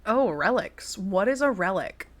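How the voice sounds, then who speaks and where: A young woman with a slightly raspy voice, reading game text aloud and joking about it as she plays. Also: medium pitch, lively intonation, measured pace. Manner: chatty and wry, laughs often, reads text then jokes about it. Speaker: gaming channel host.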